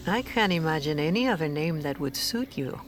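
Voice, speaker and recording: An actress playing a mystical, doting older aunt who reads crystals, portentous and affectionate. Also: female voice, deep voice, expressive, a little fast, music in the background.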